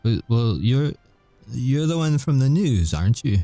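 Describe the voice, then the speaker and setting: A man playing a sardonic, volatile supernatural prisoner in an audio roleplay, mocking and bitter, swearing and taunting with dark chuckles. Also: medium pitch, very animated, unhurried, dry recording, faint music behind. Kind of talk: audio roleplay ASMR acting.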